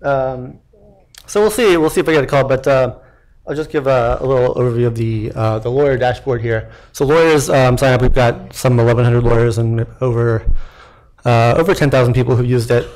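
A man's voice: medium pitch, fairly quick, darkish tone. A young man, a startup founder, giving a casual live product demo from a podium in a lecture hall, with lots of fillers and restarts. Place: lecture hall at a tech meetup. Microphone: podium mic.

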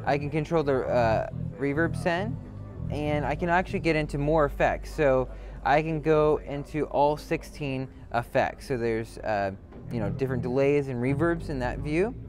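A man's voice: a little high, moderate pace. A man demonstrating a product in an unscripted walkthrough, speaking as a brand representative. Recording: loud music behind the voice. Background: loud music.

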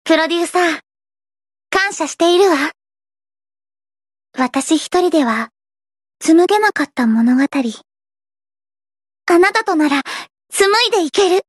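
Casting: very high-voiced teen gothic idol girl, anime game, grandiose yet shy, unhurried delivery. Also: lively intonation, dry recording, clean studio sound. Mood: earnest, tender. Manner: grandiose, dramatic archaic declarations, slipping into shy sweetness. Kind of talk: anime game character voice lines.

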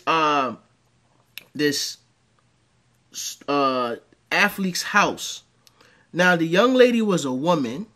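A man, telling crude stories in a home-studio reaction commentary. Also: high-pitched. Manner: animated, rambling storyteller with crude jokes. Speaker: commentary host. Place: dim home room with green light.

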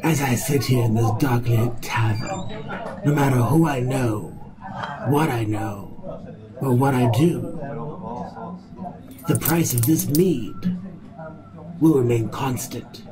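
A man reading a slow, solemn, reflective story narration in measured, old-fashioned language. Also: medium pitch, rough recording. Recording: music bed under the voice.

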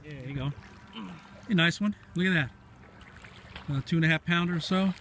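A man's voice: on the high side, moderate pace, a bit bright. A middle-aged man giving casual running fishing commentary, repeating short encouraging phrases. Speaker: fishing vlog host filming. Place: outdoors on a grassy lake shore. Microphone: handheld camera mic. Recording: very noisy windy outdoor camera audio.

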